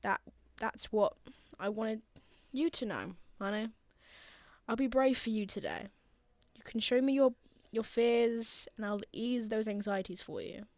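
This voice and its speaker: A woman playing a gentle, reassuring father who comforts a frightened child in a tender ASMR roleplay. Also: on the high side, unhurried, long pauses, no echo. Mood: warm, earnest. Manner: soothing, tender, earnest.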